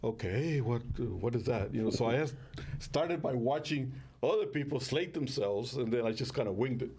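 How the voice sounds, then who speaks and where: A man's voice: medium pitch, normal speed, noisy background. An older man hosting a casual talk show, telling rambling stories with lots of fillers. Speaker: show host. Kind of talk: casual chat.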